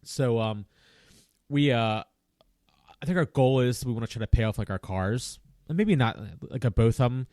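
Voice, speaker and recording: A man's voice: medium pitch, moderate pace, darkish tone, no echo, studio quality. A man in his late thirties hosting a casual chat, talking in a rambling, easygoing way and laughing often. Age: 30s. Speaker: podcast host.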